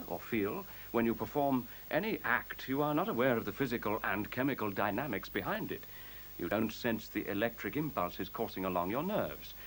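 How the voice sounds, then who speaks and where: An actor playing a self-assured, courteous computer in a radio play, speaking in articulate, formal, precisely lecturing phrases. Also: male voice, lowish voice, lightly acted, poor audio. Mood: measured, explanatory. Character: self-assured, courteous sentient computer, male-voiced, coolly superior. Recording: faint tape hiss.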